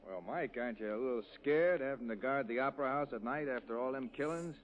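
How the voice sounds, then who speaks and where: An actor playing a suave gentleman detective, calm and reassuring. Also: mid-range voice, fast, highs cut off, lightly acted. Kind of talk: 1940s radio acting.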